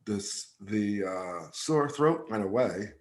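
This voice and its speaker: A man's voice: fairly low pitch, animated, takes it slowly. A man with a stuffed-up, congested voice, telling stories in a laid-back, slangy way as a podcast co-host. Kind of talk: casual chat.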